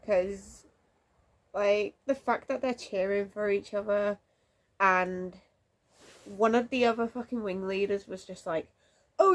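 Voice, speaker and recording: A young woman with a cold, chatting excitably about her reading in a casual vlog at home. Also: mid-range voice. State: has a cold, snuffly. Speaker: book vlogger. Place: home room under a window, lamp lit.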